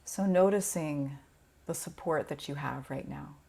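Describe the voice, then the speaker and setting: A woman teaching yoga nidra, guiding a relaxation meditation in a gentle, soothing, unhurried way with pauses. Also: deep voice, creaky, husky.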